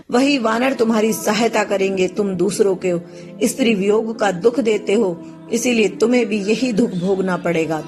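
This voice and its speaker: Woman plays impassioned proud sage of myth, formal declamation, medium pitch, faint music under. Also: close to an everyday voice. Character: wrathful male divine sage, proud, later remorseful.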